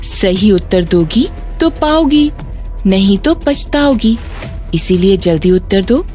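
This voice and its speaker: Woman as a measured, slow-speaking wise fairy. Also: medium pitch, expressive, a little mellow, soft background music. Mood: stern. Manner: measured, instructive, recites riddles. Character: kindly female fairy of a magic grindstone, wise and stern. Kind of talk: children's fairy tale acting.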